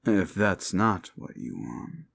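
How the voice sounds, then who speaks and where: Man playing a deep, gravelly, taunting demon. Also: very animated, exaggerated voice. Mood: sly, taunting. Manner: slow, taunting, theatrical, with drawn-out words. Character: sinister mocking male demon, condescending soul-hungry tempter. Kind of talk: roleplay comfort ASMR acting.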